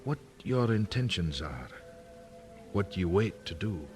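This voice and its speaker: A deferential nobleman advising royalty. His low voice moves expressively, with formal courtesy and a probing, insinuating manner. It is historical audio drama, with faint music underneath. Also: big pitch swings, slow, a little mellow, full character voice. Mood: courtly probing.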